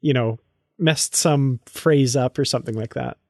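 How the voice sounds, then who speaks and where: A man's voice: mid-range voice, measured pace, no echo. Enthusiastic man hosting a podcast, dry sound. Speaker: podcast host. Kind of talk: casual chat.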